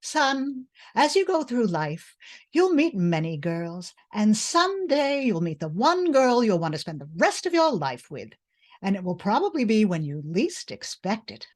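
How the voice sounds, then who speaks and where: A woman playing a tough, scornful older mother in a 1950s-style radio comedy, gruff and blunt. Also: mid-range voice, big pitch swings, unhurried. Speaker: radio play actor.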